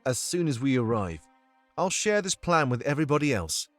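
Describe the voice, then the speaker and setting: Rebel strategist, mid-pitched, expressive range, measured, commanding, formal game dialogue, faint music. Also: male voice, no room sound. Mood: resolute, briefing. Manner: formal, deliberate briefing. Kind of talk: scripted visual novel game dialogue.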